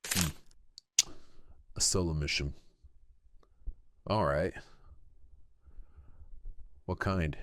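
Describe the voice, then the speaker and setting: A man playing a gruff, sardonic military man in an audio drama, terse and deadpan. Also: deep voice, extremely slow, long pauses, dry recording, full character voice. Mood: businesslike.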